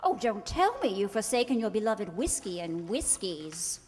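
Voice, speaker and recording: A woman playing a proud, sharp-tongued young society bride in an amateur radio play, theatrical and exasperated. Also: medium pitch, sing-song, normal speed, lightly acted. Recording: echoey hall, long reverb.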